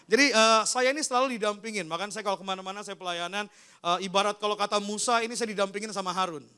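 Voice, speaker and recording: A man preaches with a strikingly high-pitched voice that is bright and smooth. As a pastor, he tells personal testimony in informal, conversational language, speaking straight to his listeners. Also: quick pace. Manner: informal storytelling, often addressing listeners directly. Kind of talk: personal testimony in a sermon, addressing a congregation.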